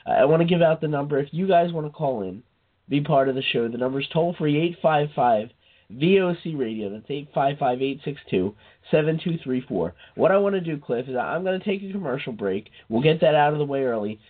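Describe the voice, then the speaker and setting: Congested man hosting wrestling radio. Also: a little high. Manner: chatty, repeats himself, addresses co-host by name. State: congested with a head cold. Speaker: radio show host. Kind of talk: casual wrestling radio talk. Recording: tinny phone-like line.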